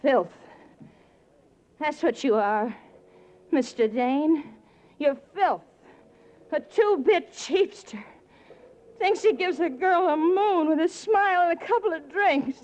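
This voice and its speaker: An actress playing a tipsy, clinging, scornful floozy in a 1940s radio melodrama, with a slurred, theatrical drawl. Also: female voice, high voice, expressive, slow, pauses often, darkish tone. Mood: bitter, hysterical. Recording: dull, noisy 1940s radio broadcast.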